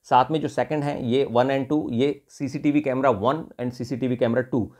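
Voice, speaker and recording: A man with an Indian accent talks through a product unboxing in an unscripted way. His voice sits at a medium pitch, and he explains each part, often restating things between Hindi and English. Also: fairly even intonation, normal speed, darkish tone. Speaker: product reviewer.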